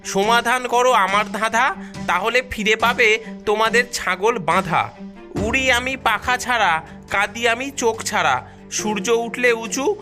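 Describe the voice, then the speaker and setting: A woman's voice: on the high side, unhurried, sharp tone, faint music behind. A woman voicing a puzzled, anxious cartoon villager who hesitates and repeats words. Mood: reciting, baffled.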